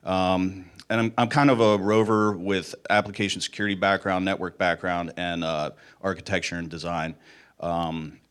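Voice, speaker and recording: A middle-aged man with a slightly hoarse voice, giving an informal technical conference talk in a casual, self-deprecating way. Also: low-pitched, level tone, normal speed, fairly bright tone. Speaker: conference speaker, security architect.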